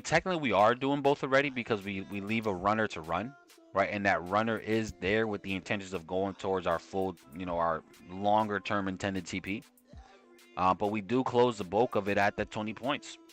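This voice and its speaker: A young man with a New York accent hosts a live trading stream, talking loosely and full of slang. His voice sits fairly low, and faint music plays behind him. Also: a bit bright. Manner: slangy and conversational, often tags "right?". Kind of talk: casual live-stream chat with viewers.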